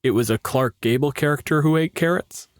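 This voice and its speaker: A man's voice: fairly high pitch, brisk, clean tone, no room sound, studio quality. A man co-hosting a podcast in casual chat, explaining things in a wry, sweary way. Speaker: podcast co-host and gamemaster.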